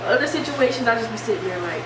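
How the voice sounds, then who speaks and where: Animated young woman, echoey distant recording. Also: fairly high pitch, fast, a crowd in the background. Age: young adult. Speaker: vlogger. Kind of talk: casual chat. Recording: distant mic, echoey room, steady hiss.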